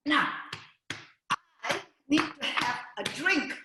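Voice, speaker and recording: A high-voiced older woman cooks live on a video call and jokes her way through it at an unhurried pace. Her voice echoes around the room, and noise runs underneath it the whole time. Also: lively intonation. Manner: cheeky, joking, playful. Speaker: guest cook. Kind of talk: live cooking demo over video call.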